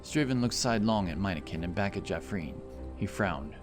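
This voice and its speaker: A man's voice: sits low, lively intonation, fairly quick, over music. A man narrating a dramatic fantasy audio story from a script.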